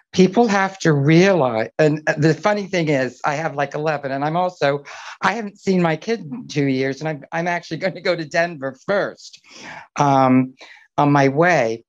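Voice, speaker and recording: A middle-aged woman chatting casually on an online call, talkative and rambling. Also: deep voice. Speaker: fan attending a group hangout. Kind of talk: casual personal chat. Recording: dull lo-fi call audio.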